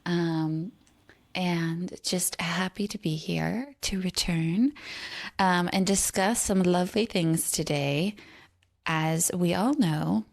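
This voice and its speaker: A woman co-hosting a podcast, chatting casually in a slow, gentle way with soft laughs. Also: lowish voice, creaky. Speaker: podcast co-host. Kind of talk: casual chat.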